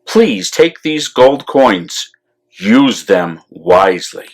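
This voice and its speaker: Man as scheming rich landowner, slow delivery. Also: lowish voice, sing-song, heavily acted. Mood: falsely generous. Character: rich, scheming male landowner, smoothly false generosity.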